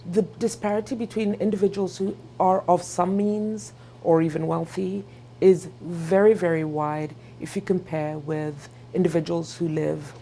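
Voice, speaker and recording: Mellow, creaky Kenyan-accented woman. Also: fairly low pitch, fairly even intonation, a little slow, slight background noise. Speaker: pediatric dentist. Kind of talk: informal interview talk.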